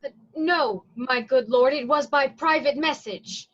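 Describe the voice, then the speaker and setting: A woman's voice: high voice, measured pace, a bit bright. A woman playing a respectful, apologetic prison provost in a formal Shakespearean reading, on dull audio. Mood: apologetic, deferential. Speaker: actor in a staged reading. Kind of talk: Shakespearean verse stage reading.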